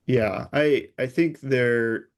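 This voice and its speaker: A man's voice: medium pitch, slow pace. A man speaking as an expert guest in an interview, explaining technical concepts through hypothetical examples. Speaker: expert guest, security researcher.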